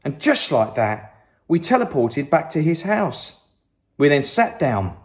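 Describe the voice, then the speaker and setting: A man reading a children's story aloud, slow and expressive. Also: fairly high pitch. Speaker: story reader.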